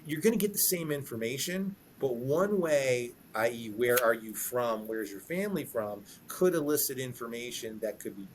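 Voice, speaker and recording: A man's voice: mid-range voice, moderate pace, hardly any pauses, a little hiss or hum, poor audio. A man speaking conversationally as a podcast guest lawyer, emphatic, repeating himself and acting out sample questions and answers. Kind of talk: conversational advice in a podcast interview.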